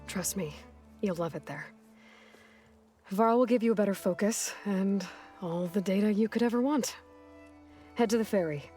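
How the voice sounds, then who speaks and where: A woman's voice: medium pitch, normal speed, pauses often, mellow, lightly acted. An actress playing a capable young female adventurer in a video game, speaking in a direct, understated, questioning way. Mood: reassuring. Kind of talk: video game cutscene acting. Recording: music behind the dialogue.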